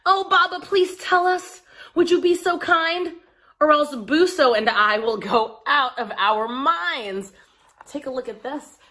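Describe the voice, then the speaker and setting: A woman with a fairly high voice leads a story time for small children. She speaks straight to her young listeners, keeping her wording simple and checking in with them as she explains and reads. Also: fairly bright tone. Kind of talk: children's story time, talking and reading aloud to young viewers.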